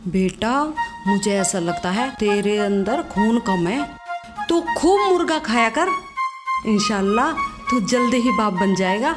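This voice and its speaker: A woman playing a theatrical, sharp-tongued middle-aged mother in a broad comedy skit, lamenting and scolding. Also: medium pitch, lively intonation, measured pace, over loud music, lightly acted. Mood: exasperated fondness.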